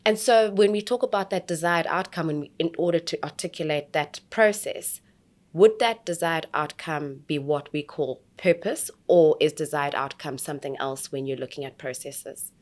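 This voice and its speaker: A woman interviewer and coach asking a guest about business in a conversational, lively way. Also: deep voice, normal speed. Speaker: interviewer, coach at entrepreneurship centre.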